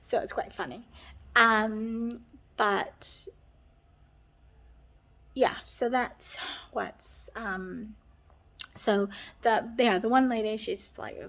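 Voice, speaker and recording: A woman's voice: a little high, steady tone, extremely slow, pauses often, fairly bright tone. A woman with a Canadian accent touched by New Zealand vowels, chatting earnestly in a personal vlog and rambling with lots of "like". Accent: Canadian with a New Zealand tinge. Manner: rambling, heavy use of "like", earnest. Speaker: vlogger. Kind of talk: casual personal vlog chat.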